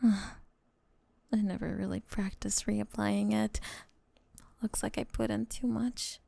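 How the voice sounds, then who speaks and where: A woman's voice: medium pitch, flat delivery, slow, vocal fry, warm tone, dry recording, exaggerated voice. A woman voicing a doting, possessive snake-girl girlfriend with sweet menace, in an intimate, coaxing ASMR roleplay that often drops to a whisper. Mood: tender, controlling.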